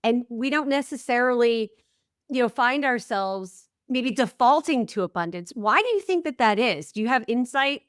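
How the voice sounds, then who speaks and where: An American woman hosts a podcast interview and answers her guest with affirming enthusiasm. Her voice sits a little high, and the recording is close and dry. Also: expressive, normal speed, no echo. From United States. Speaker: podcast host. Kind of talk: interview host.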